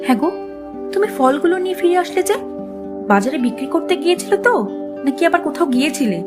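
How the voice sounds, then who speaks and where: A woman acts a practical village wife in a cartoon folk tale. Her voice is high, with a fretful, questioning manner, and faint music plays underneath. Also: sing-song, a little fast. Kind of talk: cartoon moral-tale acting.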